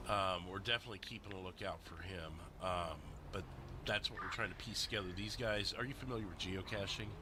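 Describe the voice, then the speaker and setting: A man's voice: sits low, fairly quick, background noise. A man chatting casually on a game stream as host, thinking aloud as he goes.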